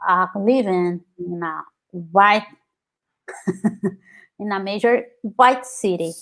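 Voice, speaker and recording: Brazilian woman guest, earnest, reflective, lots of "like"s, middling pitch. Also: extremely slow, a bit bright. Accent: Brazilian Portuguese. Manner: earnest, reflective, many filler "like"s. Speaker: guest, activist and scholar. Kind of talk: personal storytelling in conversation.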